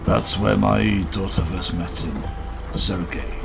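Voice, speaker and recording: A man's voice: low-pitched, fairly even intonation, slow, creaky, over music, full character voice. A man playing a weary, guarded, protective Welsh father in a radio play, speaking politely and hesitantly in an old-fashioned way. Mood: earnest, hesitant. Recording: noisy vehicle ambience behind voice.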